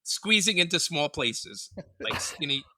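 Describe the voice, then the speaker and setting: A man's voice: fairly high pitch, sing-song, measured pace, sharp tone, dry recording, clean studio sound. A man co-hosting a podcast, tossing wisecracks into the banter. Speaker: podcast co-host. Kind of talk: joking banter.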